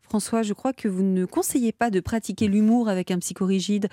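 A woman's voice: mid-range voice, steady tone, fairly quick, mellow, no echo, studio quality. A woman co-hosting a radio talk show, asking questions in a casual, conversational way.

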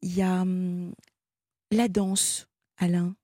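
Husky-voiced woman hosting late radio. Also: lowish voice, flat delivery, slow, no echo, clean studio sound. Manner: gently coaxing, attentive, reformulates what is said. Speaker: radio host of a night call-in show. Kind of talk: live conversational radio hosting. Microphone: close studio radio microphone.

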